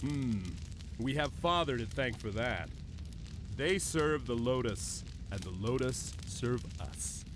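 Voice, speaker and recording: Haughty game prince, sarcastic, scornful, very animated delivery, noisy ambience. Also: male voice, mid-range voice, slow pace. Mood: smug. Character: arrogant, scornful firstborn prince of a ruling clan. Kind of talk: video game cutscene acting.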